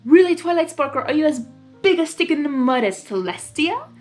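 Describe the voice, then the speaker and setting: A woman's voice: high voice, very animated, faint music behind, pitched above the performer's own voice. A woman voicing a theatrical male chaos creature, a mocking villain who feigns innocence in a story reading. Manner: mocking, mock-innocent, drawn-out.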